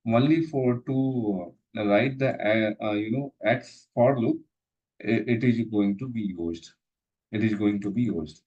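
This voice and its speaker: A man teaching programming, pausing often and repeating phrases with many fillers. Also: fairly low pitch, muffled recording. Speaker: instructor. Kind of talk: live coding lesson walkthrough.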